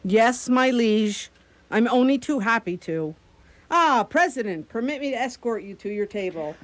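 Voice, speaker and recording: A woman's voice: on the high side, lively intonation, normal speed, close to an everyday voice. A woman acting in a radio play as a gracious, effusive hotel hostess with a sardonic edge. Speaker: actor in a community radio play.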